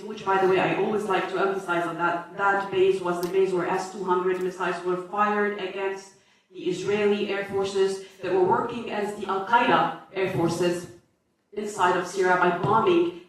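A woman from Lebanon with a Lebanese accent presenting an academic paper, halting with many fillers and self-corrections. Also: mid-range voice. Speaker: conference speaker. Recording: echoey hall from far off.

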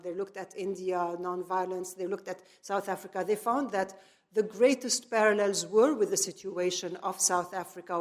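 A middle-aged woman from Lebanon giving a semi-improvised public lecture, phrasing deliberately and stressing key words. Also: on the low side. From grew up in Lebanon. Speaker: speaker, activist lecturer.

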